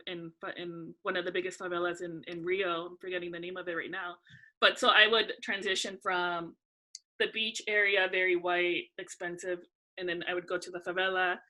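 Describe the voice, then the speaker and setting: Young woman guest from California, bright tone, lowish pitch, unhurried and thoughtful. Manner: relaxed, thoughtful, frequent "um" pauses. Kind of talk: casual interview conversation.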